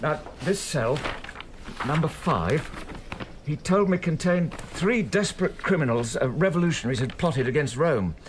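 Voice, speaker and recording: A man's voice: on the high side, expressive, normal speed, noisy background, close to an everyday voice. An actor playing a conscientious, troubled prison keeper reporting respectfully to his superior in a radio drama. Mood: troubled, earnest.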